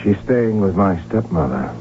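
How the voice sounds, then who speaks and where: An actor playing a proud, stubborn royal heir in a radio drama, formal, earnest and insistent. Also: male voice, fairly low pitch, expressive, moderate pace, close to an everyday voice. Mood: bitter, determined. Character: proud archduke heir, stubborn, intense, lovestruck man. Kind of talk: old-time radio drama acting. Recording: tinny narrow-band radio drama, hissy.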